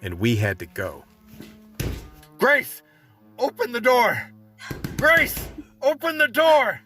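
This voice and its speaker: A man's voice: very high-pitched, wildly swooping pitch, slow, pushed and bright, faint music behind, heavily acted. A man playing a tough survivor who narrates his fight with vampires in a first-person radio play. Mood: urgent, shouting.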